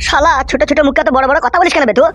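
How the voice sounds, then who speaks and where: Lady doing snappy cartoon goon sidekick, scolds, talks crazy fast. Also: sits high, fairly bright tone. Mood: scolding. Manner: snappy, scolding, foul-mouthed. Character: loyal, scolding henchman younger brother of village boss. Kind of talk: amateur comedy cartoon dialogue. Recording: music behind the voice.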